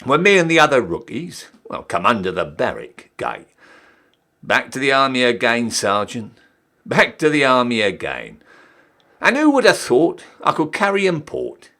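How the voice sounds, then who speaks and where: Man acting a cheeky old-style British soldier, wildly animated. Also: medium pitch, normal speed, heavily acted. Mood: stirred, proud. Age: young adult. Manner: theatrical, emphatic, swooping delivery. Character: cheeky down-at-heel ex-soldier re-enlisting, Victorian British army ranker. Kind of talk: dramatic poetry recital.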